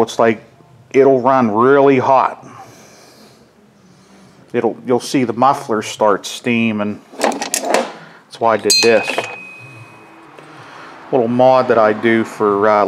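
A plainspoken middle-aged man in a cluttered garage, rambling through shop tips in a folksy, offhand way. He talks from behind a handheld camera, with a moderate, ordinary pitch. It sounds like a mechanic chatting while he works. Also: slight background noise. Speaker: mechanic and chainsaw hobbyist. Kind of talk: casual workshop how-to vlog.

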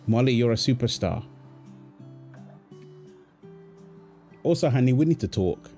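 A man's voice: medium pitch, animated, fast talker, dark tone, soft background music, lightly acted. A man playing a tender, playful young husband and doting father in an ASMR roleplay, soothing and teasing as he talks. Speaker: voice actor of a roleplay audio. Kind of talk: ASMR boyfriend roleplay, acted one-sided dialogue.

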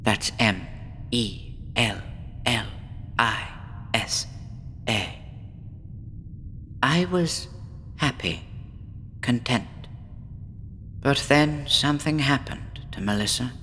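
Guy doing a gloomy young woman patient, slow and brooding, echoey horror radio play. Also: mid-range voice, expressive, pushed and bright, a little hiss or hum, full character voice. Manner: slow, brooding, poetic and weary. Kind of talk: horror audio drama acting.

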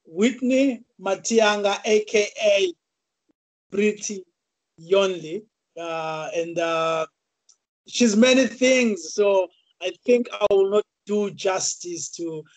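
A man in his thirties hosts an interview over a video call from a small room, his voice a little high for a man. He stumbles and repeats words, and the sound is dry and close. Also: no echo, rough recording. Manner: halting, many fillers and repeated words. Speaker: interview host. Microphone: video call webcam.

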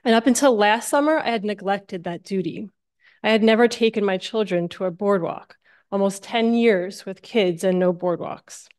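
A woman's voice: medium pitch, moderate pace, a little mellow. A middle-aged American woman from New Jersey giving a sermon with personal storytelling at a church lectern. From New Jersey, United States. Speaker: church guest speaker.

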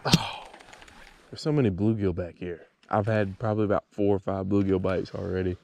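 A man with a fairly low voice talks casually to camera from a kayak. He rambles easily, thinking out loud. The action-camera audio has clear outdoor noise and clicking. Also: steady tone, moderate pace. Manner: easygoing, rambling, thinking aloud. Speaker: fishing vlogger. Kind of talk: casual chat to camera while fishing. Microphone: action camera mounted on the kayak or body.

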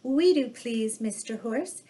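A woman voicing eager, polite little animal friends in a children's storybook, in an excitable manner. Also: a little high, takes it slowly, voice pushed up. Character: eager little animal friends, cat, dog, pig, duck.

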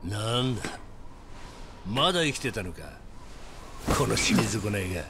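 An actor playing a cold, scheming middle-aged yakuza villain in a video game, polite in wording but openly contemptuous. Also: male voice, lowish voice, expressive, unhurried, very noisy. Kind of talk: dramatic Japanese video game cutscene acting.

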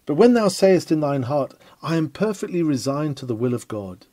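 A man's voice: a little high, very animated, moderate pace, warm tone, no echo. A man reading an old sermon aloud in a solemn, declamatory preaching style. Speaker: reader of historic sermons.

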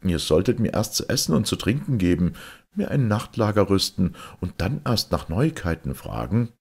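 A man's voice: on the low side, sing-song, normal speed, vocal fry, breathy edge, voice pushed up, full character voice. A man voicing a weary, forthright, self-assured huntsman in a storybook fairy tale. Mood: mildly reproachful. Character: plain-spoken weary huntsman, good-natured and self-assured.

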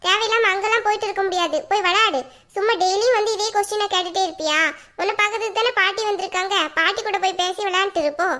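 Woman acting high, bright-voiced exasperated young mother. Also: steady tone, racing. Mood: irritated, scolding. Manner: colloquial, blunt, scolding. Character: exasperated young mother, scolding and threatening her little daughter.

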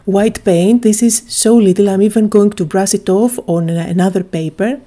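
A woman's voice: medium pitch, mellow. A woman from Greece, chattily and enthusiastically narrating a craft tutorial, with frequent little "uh" fillers.

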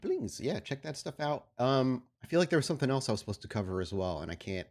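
A man's voice: mid-range voice, sing-song, fast talker, darkish tone. A man speaking as host and game master of a tabletop roleplaying show, with an informal, enthusiastic manner. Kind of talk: casual announcements, then narrating a tabletop game.

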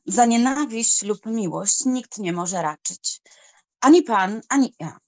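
A woman plays a stern young lady of noble birth in a dramatized novel. Her fairly high voice is haughty and formal, with cold, unyielding scorn. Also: animated, takes it slowly, a little mellow. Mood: scornful, resolute. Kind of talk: audiobook drama reading.

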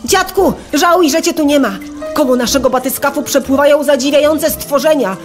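Woman acting an eager high-voiced boy explorer. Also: fairly quick, soft background music, natural voice. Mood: excited. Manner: wondering, enthusiastic. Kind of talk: children's audio drama acting.

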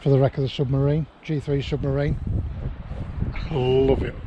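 A man's voice: mid-range voice, little pitch movement, normal speed, dull audio. Chatty middle-aged man outdoors, windy. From England. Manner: chatty, rambling, chuckles often. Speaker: vlogger. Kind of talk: casual vlog narration while riding. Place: outdoors on windy cliff tops. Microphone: action camera on handlebars and held near face. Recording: wind and outdoor noise.

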